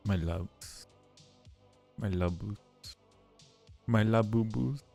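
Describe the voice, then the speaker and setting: A man with a lowish voice and plenty of vocal fry chats very slowly on a livestream. He rambles and circles back on his phrases, playful and affectionate with his viewers, with faint music behind him. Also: dark tone. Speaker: livestream host. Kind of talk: casual livestream chat.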